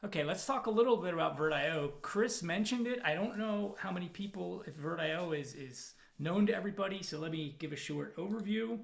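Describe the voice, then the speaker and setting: A man presenting as a product manager at a technical conference, talking from home over a video call in a relaxed, self-deprecating way with plenty of fillers. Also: high voice, brisk, vocal fry, hardly any pauses. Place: home, in the evening.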